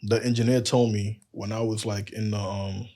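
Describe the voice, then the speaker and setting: A man with a deep voice and a lisp, telling loose stories on a casual podcast and acting out quoted lines. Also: little pitch movement, moderate pace, warm tone. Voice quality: says he has a lisp. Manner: loose storytelling, acts out quotes, laughs often. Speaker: podcast cohost.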